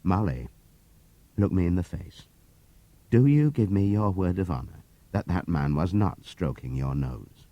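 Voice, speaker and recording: A man voicing an earnest, motherly mare who questions sternly and gravely in an audiobook. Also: low voice, lively intonation, a little slow, mellow, dry recording, muffled recording.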